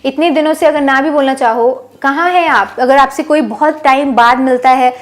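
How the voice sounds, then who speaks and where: High-voiced woman teaching English. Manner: slow, drawn-out model sentences for learners. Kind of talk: bilingual language lesson.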